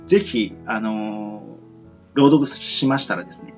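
A man's voice: lowish voice, a little slow. A man hosting a casual radio talk show in a polite, rambling, hesitant way. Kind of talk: casual interview and sign-off chat. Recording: muffled lo-fi with faint music.

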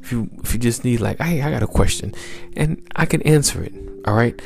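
A man hosting a motivational podcast as a mindset coach, with a smooth, gravelly low voice and upbeat, repetitive exhortations. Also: lively intonation, a little fast, a little mellow, faint music behind.

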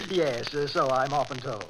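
A man's voice: mid-range voice, slow pace, natural voice. An actor playing a timid, polite salesman, hesitant and stammering in a formal way, in a 1940s radio drama. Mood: nervous, polite. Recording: crackly old-time radio recording.

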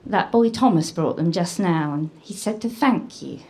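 Woman acting a kindly medieval maidservant, mellow and lilting. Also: on the low side, lively intonation, normal speed. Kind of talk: audio drama acting. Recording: faint background noise.

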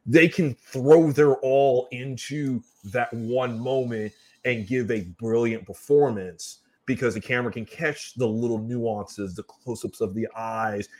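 A man co-hosting a casual live chat show, earnestly explaining his points and talking to the audience. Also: mid-range voice. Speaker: co-host of horror review show.

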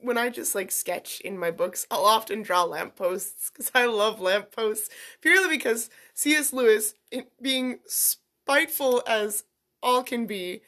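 A woman's voice: fairly high pitch, unhurried, fairly bright tone. A woman co-hosting a casual podcast, a little froggy and sniffly from a cold, chatting and telling stories with a teasing humor. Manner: chatty, storytelling, self-teasing. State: congested with a cold. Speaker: podcast co-host. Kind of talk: casual chat.

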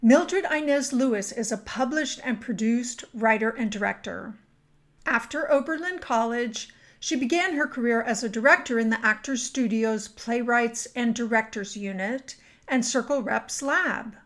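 A woman with a slightly high voice speaks very slowly as a podcast host. She presents and questions in an orderly interview style. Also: fairly bright tone. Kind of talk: scripted introduction and interview questions.

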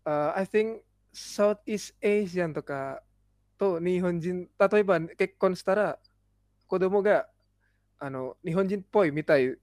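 A young man, a guest, chatting casually in halting English and Japanese with many fillers and pauses, speaking as an in both. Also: high voice, darkish tone, dry recording, clean studio sound. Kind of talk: casual chat.

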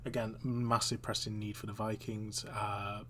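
A man's voice: on the low side, level tone, measured pace, fairly bright tone, a little hiss or hum. A man from a team podcast announcing a pick in a matter-of-fact way. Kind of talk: sports analysis.

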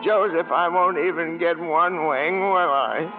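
A meek, bumbling guardian angel from an old radio play. The voice is fairly high, hesitant and deferential. It rings in long reverb on a tinny, narrow recording with music behind. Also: male voice, unhurried. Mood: anxious. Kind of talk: mid-century American radio drama acting.

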